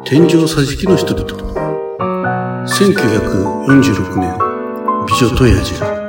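A man narrates the introduction to a radio drama at an unhurried pace. His voice is roomy and a little far from the mic, with loud piano music behind him. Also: mid-range voice, big pitch swings.

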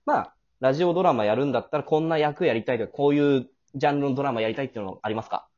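A jovial, chatty man hosting a casual radio drama cast talk, quick to laugh. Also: mid-range voice, a little mellow, lo-fi sound. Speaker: host.

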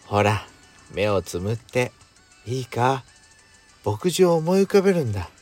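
A man playing a calm, indulgent boyfriend with a dry, gentle manner in an intimate bedtime audio drama. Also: medium pitch, a little slow, soft background music. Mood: soothing. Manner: gentle, dry, masculine wording. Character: gruff but doting male lover, calm and indulgent.

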